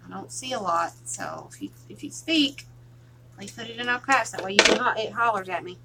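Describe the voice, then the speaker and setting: A woman hosting a casual crafting livestream, chatting softly with viewers while she works. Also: mid-range voice, huge pitch swings, a little fast, very noisy. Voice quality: soft, relaxing voice.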